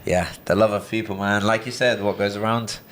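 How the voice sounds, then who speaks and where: A man's voice: on the low side, steady tone, brisk, a bit bright, slight background noise. A young man from Brazil, with a British-tinged accent, chatting casually as a podcast guest, rambling with lots of fillers and restarts. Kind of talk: casual interview chat.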